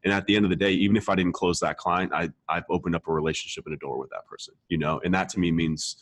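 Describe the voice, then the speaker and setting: A man's voice: low voice, fairly even intonation, fast, no echo. A man hosting a podcast, talking casually and emphatically, repeating points for stress and checking in with the listener. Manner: emphatic, repetitive, casual swearing, frequent check-in tags. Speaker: podcast host, marketer. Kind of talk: casual conversational advice.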